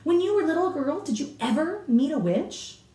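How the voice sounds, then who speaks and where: A woman's voice: high voice, big pitch swings, moderate pace, dark tone, voice pushed up. A woman reading a storybook as a curious, incredulous young grandchild full of questions, in a roomy, distant recording. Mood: pleading, curious. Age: child. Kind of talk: dramatic children's storybook reading. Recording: roomy echo, distant mic.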